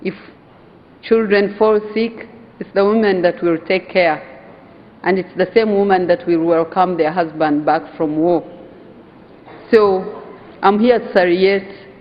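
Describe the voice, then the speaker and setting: A woman from Uganda with a Ugandan accent giving an earnest, emphatic conference speech, slowing down to stress key phrases. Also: fairly low pitch. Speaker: conference speaker, hotel owners' association vice chair. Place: conference hall. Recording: dull sound in a reverberant hall.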